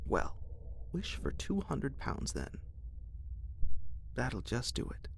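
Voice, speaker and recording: A man's voice: medium pitch, wildly swooping pitch, slow, gravelly, a little hiss or hum, exaggerated voice. A man voicing a cheeky, joking young son in a playful, mocking whisper.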